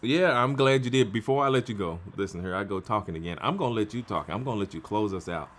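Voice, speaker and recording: A man's voice: lowish voice, quick pace. A man hosting an interview in a casual, playful style, joking with the audience and urging them like a preacher. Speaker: interview host.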